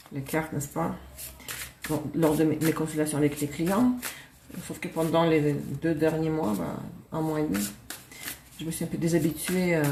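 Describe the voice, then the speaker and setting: A woman's voice: sits low, a little mellow, slight background noise. A woman reading tarot cards on a live stream, chatty, laughing and correcting herself as she goes. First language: Russian. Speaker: tarot reader. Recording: echoey room.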